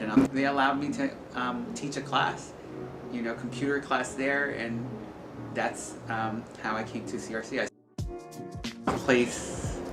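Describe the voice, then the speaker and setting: Man in echoey interview over music. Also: medium pitch. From El Salvador until age seven, then New York state, US. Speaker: community center workforce and tech staffer, interviewee. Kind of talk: informal personal interview answers. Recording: echoey room with loud music bed. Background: loud music.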